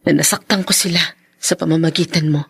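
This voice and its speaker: A woman's voice: deep voice, fairly even intonation, fairly quick, creaky, husky, dry recording, heavily acted. An actress playing a wronged, emotional young woman in a Filipino radio drama. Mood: subdued, resolved. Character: wronged young woman, bitter yet grateful, a former bar worker.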